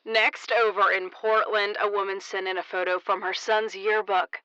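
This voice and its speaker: A woman's voice: mid-range voice, little pitch movement, moderate pace, pushed and bright, smooth, dry recording, lo-fi sound, natural voice. A woman playing a brash, jokey morning radio host in an audio comedy, full of punchy teasing banter. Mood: gleeful. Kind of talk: parody morning radio show.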